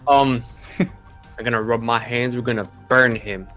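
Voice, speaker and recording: A man's voice: mid-range voice, steady tone, measured pace, music in the background. A man talking casually as a player in a tabletop role-playing game.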